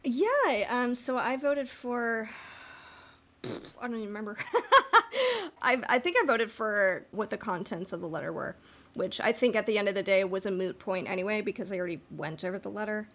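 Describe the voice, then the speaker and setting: A woman's voice: mid-range voice, moderate pace. A woman co-hosting a podcast in a casual fan discussion, talking in a playful, rambling, informal way. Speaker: podcast co-host.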